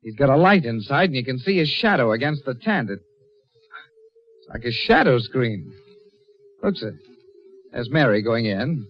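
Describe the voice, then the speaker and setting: An actor in an old radio drama playing an earnest, excitable young fiancé, boyish and affectionate. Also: male voice, mid-range voice, normal speed, long pauses. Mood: alarmed. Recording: dull lo-fi old radio recording.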